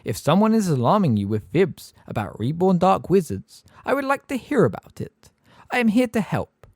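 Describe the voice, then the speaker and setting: A man reading an audiobook voices a pompous, sweetly girlish witch teacher who talks slowly and with chilly precision. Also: sits high, very animated, a little mellow, dry recording, clean studio sound, pitched above the performer's own voice, heavily acted. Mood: sugary stern. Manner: prim, sweetly girlish, slow and deliberate. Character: sugary, cold, pompous middle-aged witch teacher.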